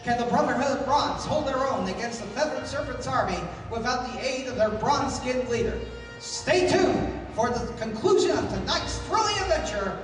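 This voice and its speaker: A man announcing and narrating an old-time radio adventure serial and its sponsor pitch in a theatrical style. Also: expressive, moderate pace, over music. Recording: echoey hall from far off, noisy.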